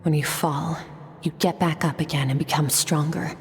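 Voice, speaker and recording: A woman's voice: low-pitched, fairly even intonation, normal speed, darkish tone, soft background music. A woman voicing a composed, resolute young swordswoman. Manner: firm, composed.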